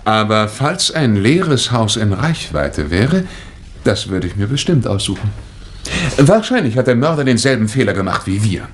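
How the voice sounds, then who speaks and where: An actor playing a brisk, plain-spoken husband explaining himself in a 1960s German radio crime drama. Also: male voice, lowish voice, sing-song, a little hiss or hum. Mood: reasoning. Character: brisk, plain-spoken married man, lost motorist.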